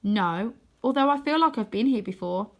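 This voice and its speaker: A woman's voice: on the high side, animated, moderate pace. A woman voicing an earnest young boy hero in a storybook, urgent and pleading. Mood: uncertain.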